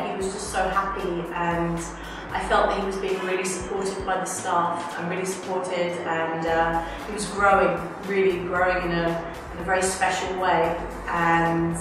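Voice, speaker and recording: A woman in her fifties giving a gentle, reflective parent testimonial while seated. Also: fairly low pitch, background noise. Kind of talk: interview testimonial. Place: indoor studio set, seated. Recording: echoey room, distant mic, music behind.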